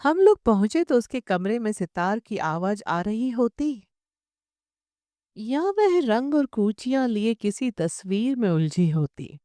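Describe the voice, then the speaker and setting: A woman reading a literary short story as an audiobook narrator, in measured, expressive storytelling. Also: a little high, no echo, studio quality.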